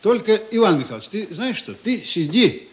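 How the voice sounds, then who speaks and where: A breezy, generous writer friend in a radio play. His voice is on the high side and swoops with animation. He is chatty and bustling, bossing people about jovially. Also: big pitch swings, normal speed. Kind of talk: Russian radio play acting.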